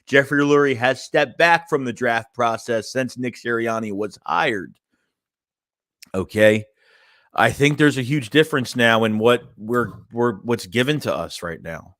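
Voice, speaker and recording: A man's voice: mid-range voice, a little slow, a bit bright, no echo, studio quality. A young man hosting a casual fan livestream, reading chat messages and rambling loosely with lots of "man" and "dude". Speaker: fan channel host reading live chat.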